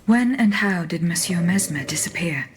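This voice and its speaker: An actress playing a calm, curious female automaton investigator in a video game, polite and direct. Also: on the low side, moderate pace, slight background noise, natural voice. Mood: calm inquiry. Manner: composed, polite, direct questioning. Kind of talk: video game cutscene dramatic acting.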